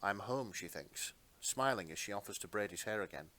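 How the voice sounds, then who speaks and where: Casting: male narrator, lowish pitch, expressive and sentimental, reading fan fiction aloud. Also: brisk, dry recording. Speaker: narrator, podcast host.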